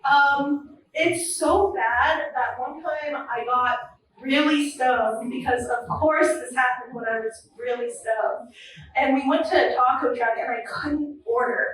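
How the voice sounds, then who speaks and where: A woman's voice: high voice, a little slow, a bit bright. Nervous young woman doing stand-up, echoey. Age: 20s. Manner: nervous, self-deprecating, many ums. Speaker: open mic comedian. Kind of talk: stand-up comedy set. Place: comedy club or small venue. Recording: very echoey room from a distance.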